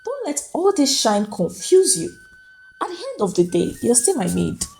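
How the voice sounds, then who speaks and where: A woman's voice: a little high, sing-song, moderate pace, creaky, dark tone. A woman voicing a proud, jealous, wealthy madam who sneers and snaps at her employee in a dramatic folktale. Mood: threatening. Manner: sneering, snapping.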